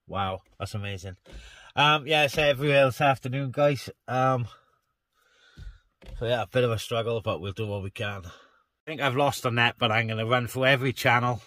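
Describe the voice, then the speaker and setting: A man's voice: medium pitch, moderate pace, bright, forceful tone, no echo. A man operating a CB radio, chatting casually to other operators and to his viewers. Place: inside a parked car on a hilltop.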